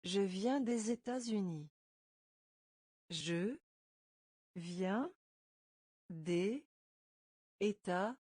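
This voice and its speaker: A French teacher, a woman, drilling phrases slowly, breaking each into single words and then repeating it whole. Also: mid-range voice, animated, a little mellow, slightly rough, no echo, studio quality. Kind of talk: slow phrase-by-phrase pronunciation drill.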